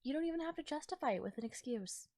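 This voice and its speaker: A woman playing a caring, affectionate girlfriend in a soft, intimate ASMR audio roleplay, speaking in a tender, coaxing way. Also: high-pitched, animated, fast, no echo, studio quality. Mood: earnest, reassuring. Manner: tender, soothing, coaxing, breathy near-whispers at times. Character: caring, affectionate girlfriend comforting a tired partner. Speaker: voice actor. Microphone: close microphone in a dry space.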